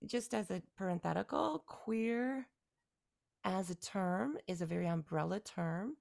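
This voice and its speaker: A woman from Canada in her forties, a musician guest talking through her career in a relaxed, rambling way with fillers and restarts. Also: mid-range voice, a little slow, gravelly. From Canada, near Toronto. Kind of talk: casual interview conversation.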